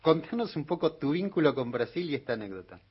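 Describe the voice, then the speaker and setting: A man with an Argentine accent hosting a radio interview, speaking haltingly with hesitations. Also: a little high, sing-song, husky, muffled recording. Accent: Argentine Spanish. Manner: halting, hesitant reading aloud. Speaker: radio host.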